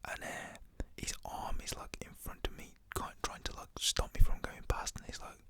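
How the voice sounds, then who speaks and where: A man's voice: moderate pace, sharp tone. A young British man whispers a casual, slangy, rambling story in an ASMR style.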